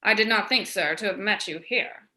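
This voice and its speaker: Woman as a proud, bright-voiced rebel space captain. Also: mid-range voice, moderate pace, reverberant, poor audio. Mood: proud, measured. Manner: stately, declamatory. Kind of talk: theatrical Shakespearean radio play acting.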